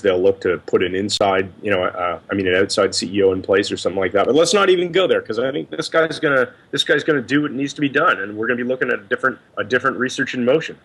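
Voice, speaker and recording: A man with a slightly low voice speaks as a panelist on a video call podcast. He offers casual analysis, thinking it through aloud in a conversational way. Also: fairly bright tone, husky. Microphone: Skype video call.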